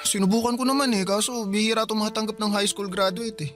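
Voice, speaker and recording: Man voicing a broke younger brother, fairly high voice, defensive, pleading, faint music behind. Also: fairly even intonation, fast talker, close to an everyday voice. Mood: explaining. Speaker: younger brother in a radio drama. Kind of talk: Filipino radio drama acting.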